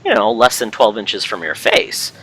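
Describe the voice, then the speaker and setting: A man hosting a podcast with casual, jokey banter, quick to be amused. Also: lowish voice, huge pitch swings, sharp tone, slightly rough. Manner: jokey, laughs easily. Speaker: podcast host.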